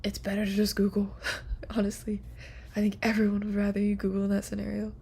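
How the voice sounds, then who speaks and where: A young woman streamer chatting softly and casually to viewers, with a whispery, giggly, playful manner. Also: medium pitch, completely flat delivery, fast talker, gravelly, slight background noise. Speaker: live streamer. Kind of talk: soft, casual sleep-stream chat with viewers.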